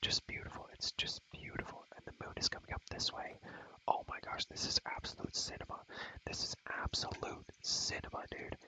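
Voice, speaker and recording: A man's voice: normal speed, sharp tone, low-quality recording. A young man whispering breathily into a close mic, rambling slangy ASMR gameplay commentary and repeating phrases over and over. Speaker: ASMR gamer and host.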